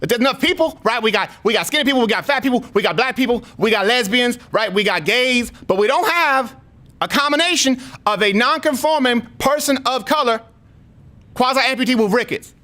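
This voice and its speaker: A man's voice: very high-pitched, lively intonation, brisk, sharp tone, heavily acted. A man voicing an indignant, aggrieved single mom in a prank phone call, rambling and repeating with slangy emphasis. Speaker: prank caller.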